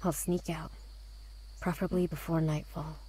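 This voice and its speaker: A woman playing a guarded, cynical teenage girl in a visual novel, with a quiet, dry, understated delivery. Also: deep voice, level tone, normal speed, breathy edge, rough recording. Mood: aloof. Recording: loud music behind the voice.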